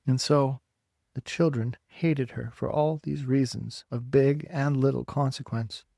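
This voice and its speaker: A man reads literary fiction as an audiobook narrator. His mid-pitched voice moves very slowly, setting each phrase apart with dramatic weight. The sound is dry, with no room around it. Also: steady tone, vocal fry, dark tone, no room sound.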